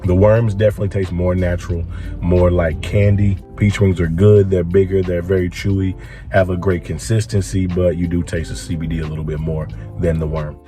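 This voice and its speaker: A young man with a hoarse, congested voice, talking casually in a home product-review vlog with lots of filler words. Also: deep voice, flat delivery, fairly quick, over music. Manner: laid-back, frequent filler words.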